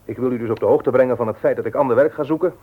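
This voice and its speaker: A man's voice: medium pitch, rapid-fire, breathy edge, lightly acted. A man acting in a radio play as a firm, frank nephew, formal and argumentative. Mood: resolute. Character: firm, frank married nephew running his uncle's business. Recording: dull, lo-fi old radio recording.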